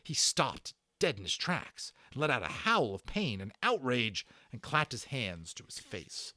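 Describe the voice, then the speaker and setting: A man's voice: medium pitch, huge pitch swings, measured pace, pushed and bright, dry recording. A man giving a dramatic, suspenseful storybook narration in a theatrical manner.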